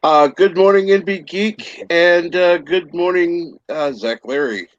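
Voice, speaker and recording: A man's voice: high-pitched, measured pace, dry recording. A man chatting on a live stream in an easygoing way and greeting viewers. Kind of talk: casual live-stream chat. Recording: dry, muffled sound.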